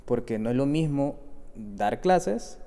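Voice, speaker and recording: Guy hosting a podcast, soft dark tone, normal pitch, reverby recording. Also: expressive, slow pace. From El Salvador. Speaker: podcast host. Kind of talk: conversational podcast interview. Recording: echoey hall-like reverb.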